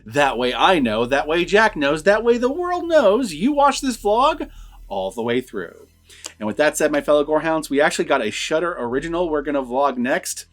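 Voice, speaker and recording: Animated man hosting a horror movie vlog. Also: fairly high pitch, moderate pace, faint music behind. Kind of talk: casual unscripted film review chat.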